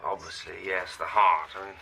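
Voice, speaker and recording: A man's voice: deep voice, steady tone, normal speed, pushed and bright. An actor playing a breezy pathologist in a radio drama, muttering broken commentary as he works. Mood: distracted, clinical. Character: breezy, distracted male pathologist at work. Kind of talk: naturalistic radio drama dialogue. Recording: very noisy room, clattering metal.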